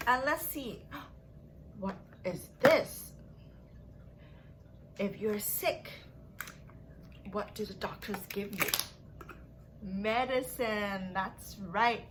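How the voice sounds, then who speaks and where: A woman's voice: mid-range voice, noisy background. A young woman leading a lesson for small children in a sing-song, exaggerated manner, asking questions and giving praise. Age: young adult. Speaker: children's story time teacher. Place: small furnished room at home.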